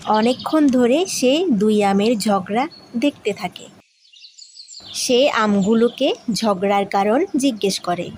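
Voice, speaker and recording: Slow-paced woman storyteller. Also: on the high side, a little mellow, a little hiss or hum. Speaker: narrator. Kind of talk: scripted children's story narration.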